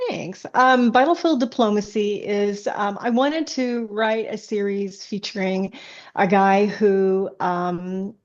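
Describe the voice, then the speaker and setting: A woman author being interviewed, chatting casually and explaining things in a halting, hesitant way. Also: medium pitch, darkish tone. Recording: dull, band-limited audio.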